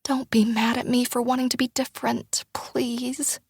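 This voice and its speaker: A woman voicing an anxious young grown daughter who talks earnestly and apologetically, her pitch climbing. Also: unhurried, slight background noise. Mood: pleading. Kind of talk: audiobook character dialogue.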